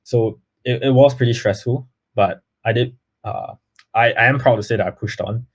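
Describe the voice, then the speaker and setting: A man's voice: medium pitch, steady tone, moderate pace, slightly rough. A young man from Singapore, giving earnest interview answers full of fillers and restarts. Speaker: guest, baseball player. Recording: slightly muffled lo-fi audio.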